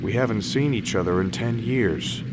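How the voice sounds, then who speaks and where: An actor playing a wary, grumbling, seasoned military officer in an audio drama, over music and vehicle sound. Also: male voice, lowish voice, moderate pace, very noisy, close to an everyday voice. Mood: grumbling, nostalgic.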